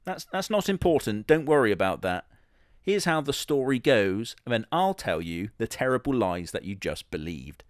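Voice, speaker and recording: A man's voice: mid-range voice, very animated, moderate pace, dry recording, studio quality. A man telling a crude comic story in a sardonic, matter-of-fact way, swearing casually. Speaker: comedy narrator in character.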